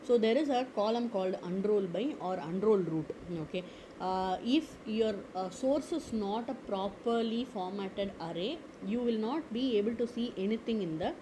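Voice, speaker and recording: A woman teaching a screen-recorded software lesson, explaining step by step with frequent "okay" and "uh" fillers. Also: medium pitch, normal speed, background noise. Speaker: tutorial instructor. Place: at a computer.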